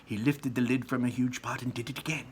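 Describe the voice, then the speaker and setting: A man's voice: medium pitch, fairly even intonation, quick pace, a little hiss or hum. A man reading a children's book aloud as a storyteller, with dramatic emphasis on descriptive words and casual asides.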